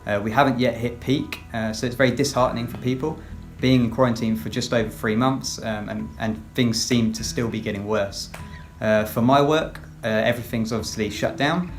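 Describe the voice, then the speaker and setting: English man speaking in measured tones. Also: medium pitch, steady tone, soft background music. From England, West Sussex. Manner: measured, with frequent fillers. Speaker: children's pastor. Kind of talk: recorded personal update.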